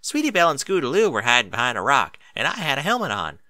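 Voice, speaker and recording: A man's voice: medium pitch, huge pitch swings, fast talker, bright, forceful tone, dry recording, voice pushed up, heavily acted. A man voicing a young pony filly, eager, stubborn and cheeky, with folksy informal talk, in a story reading. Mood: defensive, eager.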